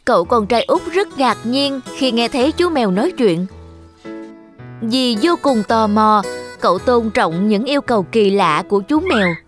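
A woman's voice: a little high, animated, moderate pace. A woman narrator reading a scripted fairy tale for children. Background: music.

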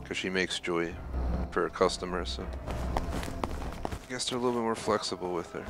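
A man's voice: deep voice, normal speed. A man giving casual gameplay commentary as a streamer, thinking aloud and explaining as he goes. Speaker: gaming streamer. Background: loud game music.

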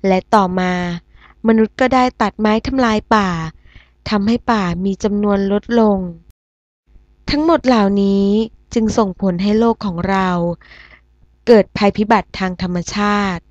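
Casting: woman to play composed cartoon TV newsreader, formal scripted delivery, medium pitch, slightly lo-fi sound. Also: a little mellow, dry recording, natural voice. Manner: formal, explanatory. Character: formal, composed female television news anchor. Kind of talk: scripted news presentation.

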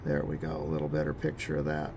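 Male technician, very gravelly fry, informal halting explainer delivery, noticeable background noise. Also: rumbling low, monotone. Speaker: radio technician giving a demonstration.

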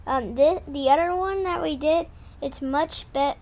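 A woman's voice: high-pitched, unhurried, darkish tone. A woman chiming in from across the room, picked up faintly on a distant mic.

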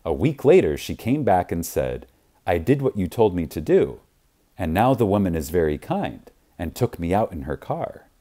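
A man narrating an audiobook in a reverent, emphatic manner with deliberate, dramatic pauses. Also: sits low, very animated, warm tone.

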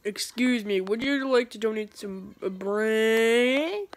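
A woman's voice: on the high side, slow pace, slight background noise. A woman reading a webcomic aloud and switching voices for each character, filmed in a dim room while pointing a phone at a tablet. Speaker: comic dubber.

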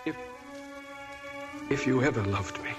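An actor playing a desperate, guilty husband in a 1940s radio drama. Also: male voice, medium pitch, measured pace, music in the background, natural voice. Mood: urgent, desperate. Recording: reverberant old 1940s radio broadcast.

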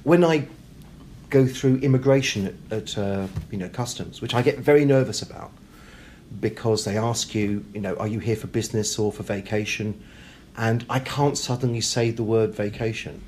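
A man's voice: lowish voice, moderate pace, darkish tone. A British man chatting as an interview guest, talkative and self-deprecating, with hesitant, repeated words. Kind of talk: casual interview conversation. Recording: clear background noise, room ambience.

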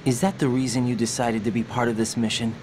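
A man's voice: mid-range voice, little pitch movement, quick pace, natural voice. Earnest young American operative played by a man, noisy backdrop. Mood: concerned, insistent. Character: earnest, determined young male special operative. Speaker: video game protagonist. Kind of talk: video game cutscene acting. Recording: clear vehicle and ambience noise behind.

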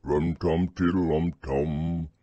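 A man voicing a slow, simple bear who loves food, speaking in a drawn-out, pondering way. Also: sits low, wildly swooping pitch, gravelly, darkish tone, husky, no echo, dull audio, full character voice. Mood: puzzled.

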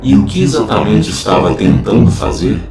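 An actor playing a cold, menacing, corrupted digital entity in a science-fiction audio drama, speaking formally and with detachment. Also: male voice, deep voice, big pitch swings, recorded from a distance, a loud crowd. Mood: cold, probing. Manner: formal, detached, deliberate phrasing. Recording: echoey, loud music underneath.

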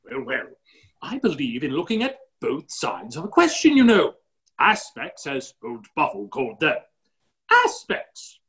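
Hearty, blustering male aristocrat, scatterbrained and theatrical, extremely lively intonation. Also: fairly high pitch, unhurried, heavily acted. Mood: jovial rambling. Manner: blustering, rambling, jovial. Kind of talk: theatrical comic play reading. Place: office room on a video call.

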